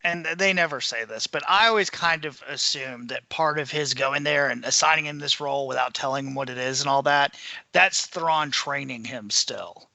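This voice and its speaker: A man's voice: medium pitch, normal speed, vocal fry, pushed and bright, no room sound. A man chatting casually about books as a podcast panelist. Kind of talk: casual book discussion.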